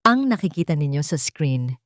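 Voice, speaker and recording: Woman narrator doing wronged wife giving a speech, cold n steady, formal, dry sound. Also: sits low, moderate pace, no echo. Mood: cold, resolute. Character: dignified, cold, vengeful wronged wife addressing a crowd. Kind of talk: audio drama speech.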